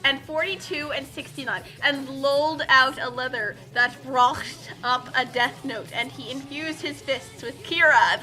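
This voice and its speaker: High bright woman reading aloud, unhurried. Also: rough recording. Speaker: reader and co-host of a group story reading. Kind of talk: dramatic read-aloud of a badly written fan story, with casual asides.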